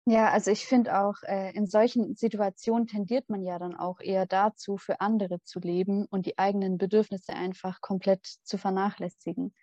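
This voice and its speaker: A woman's voice: medium pitch, fairly even intonation, moderate pace, hardly any pauses, mellow, no echo, lo-fi sound. A 21-year-old woman, a student co-hosting a podcast, chatting casually and hesitantly with many fillers. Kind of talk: casual chat.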